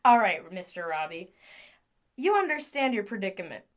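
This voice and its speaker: A woman playing an excitable, scheming inventor in an audio drama, theatrically talking to herself as her moods swing. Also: medium pitch, normal speed, natural voice. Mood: prim, coaxing.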